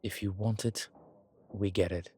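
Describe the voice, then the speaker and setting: A man playing an ancient vampire commander in an intimate audio roleplay, speaking with formal, old-fashioned diction and a measured, haughty manner. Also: on the low side, steady tone, mellow, slightly rough. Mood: warm, gracious. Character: ancient male vampire commander, haughty yet tender to his companion. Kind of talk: intimate audio roleplay acting, one side of a conversation.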